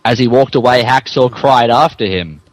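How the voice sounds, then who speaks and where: A male podcast narrator reads comic fan fiction in a mid-pitched voice. He drops wry asides into the narration, and the sound is dry, with no room echo. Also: normal speed.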